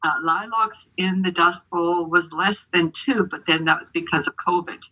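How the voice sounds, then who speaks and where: An older woman from Canada, raised speaking Ukrainian, talks in a bright but breathy voice. She rambles and loses her thread, with many fillers and restarts. The audio sounds muffled and lo-fi. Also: on the low side, normal speed. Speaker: author being interviewed. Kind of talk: casual interview answers.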